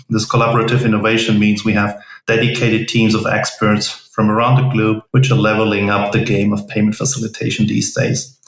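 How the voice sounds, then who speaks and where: German-accented man in a roomy echo. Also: on the low side. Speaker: podcast guest, tech co-founder. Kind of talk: podcast interview.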